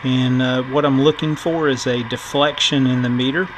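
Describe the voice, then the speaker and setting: A middle-aged man giving a casual electronics tutorial in a halting, thinking-aloud way, as the host of a repair video. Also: mid-range voice, fairly even intonation. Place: indoor workbench. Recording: clear background noise.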